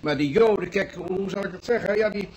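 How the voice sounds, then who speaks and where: A man's voice: sits high, fairly quick, a little mellow, lo-fi sound, slight background noise, close to an everyday voice. A man playing a smug, chatty bookkeeper in a radio play, talking in a pompous, self-justifying, repetitive way. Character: smug, chatty male bookkeeper, a hospital patient. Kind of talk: Dutch radio drama acting.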